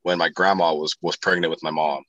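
A man's voice: sits low, flat delivery, a little fast, a bit bright, no room sound, highs cut off. A laid-back man chatting casually, rambling through family stories and laughing often. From South Dakota. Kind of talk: casual chat.

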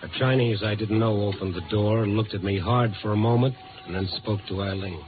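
An actor playing a tough, world-weary Chicago newspaper reporter in a 1950s radio noir drama, clipped and blunt. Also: male voice, lowish voice, fairly even intonation, brisk, lightly acted. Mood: tense narration. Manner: hard-boiled, clipped, blunt. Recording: tinny 1950s radio recording. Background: music.

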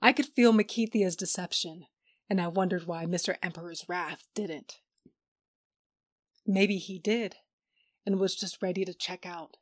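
A woman's voice: on the low side, measured pace, long pauses, no room sound, clean studio sound. An actress playing a sarcastic female pilot and gunslinger in a sci-fi audio drama, with a wry, informal delivery. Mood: wry, tense. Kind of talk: audio drama acting and narration.

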